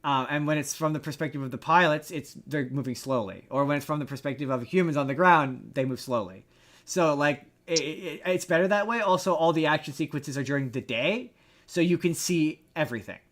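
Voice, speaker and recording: A man chatting casually as a podcast co-host, explaining things in an informal, slangy way with halting repeats. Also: fairly high pitch, fairly bright tone. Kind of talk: casual movie review chat.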